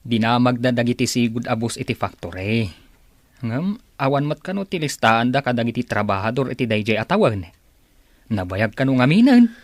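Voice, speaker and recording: A man's voice: medium pitch, expressive, moderate pace, dry recording, close to an everyday voice. An actor playing a deferential assistant reporting to his boss in an Ilocano radio drama. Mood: respectful.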